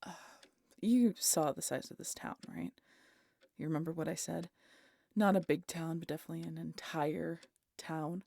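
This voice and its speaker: A weary, guarded vampire woman, acted by a woman speaks in a low, gravelly voice with a husky, breathy edge. She is dryly sardonic yet protective, talking haltingly and intimately to one listener in an audio roleplay. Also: mellow, no room sound, studio quality. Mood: grim, explaining. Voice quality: breathy, husky, often dropping to a whisper.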